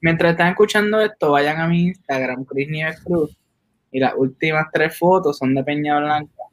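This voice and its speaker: A man's voice: on the high side, moderate pace, dry recording. A man co-hosting a casual podcast, mostly chiming in briefly. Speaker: podcast co-host.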